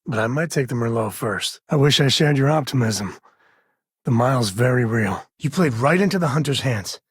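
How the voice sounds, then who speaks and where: An actor voicing a laid-back, protective frontier ranger and father in a space video game, with a husky voice and folksy, wry phrasing. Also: medium pitch, expressive, normal speed, no room sound, clean studio sound. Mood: serious. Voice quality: husky, raspy. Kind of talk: video game character voice lines.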